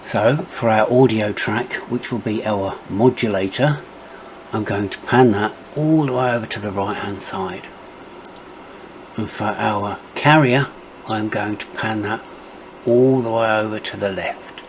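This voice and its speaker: A middle-aged man narrating a step-by-step software tutorial as its presenter. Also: on the low side, a little slow, a little hiss or hum, rough recording.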